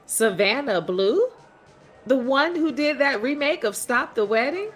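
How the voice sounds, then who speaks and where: A shrewd, proud mother with a folksy, needling manner. Her pitch moves around freely as she talks in scripted drama dialogue, over faint music. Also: lively intonation, measured pace. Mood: knowing, teasing.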